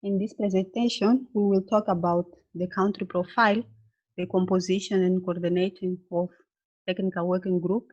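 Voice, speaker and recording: A woman's voice: on the low side, level tone, a little mellow. Woman webinar presenter, hesitant English, dull call audio. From Mozambique. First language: Portuguese. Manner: halting, searching for words with many fillers.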